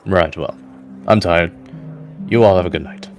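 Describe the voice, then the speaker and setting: A man's voice: low voice, normal speed, a little mellow, lightly acted. A man playing a weary, guarded male adventurer in a tabletop game, speaking in a reticent, matter-of-fact way. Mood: tired. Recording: voice over prominent background music.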